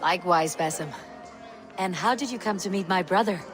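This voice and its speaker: A woman's voice: on the low side, normal speed, over music, close to an everyday voice. An actress playing a loyal, steadfast Viking warrior woman, direct and wry, in video game dialogue. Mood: friendly. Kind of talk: dramatic game cutscene dialogue.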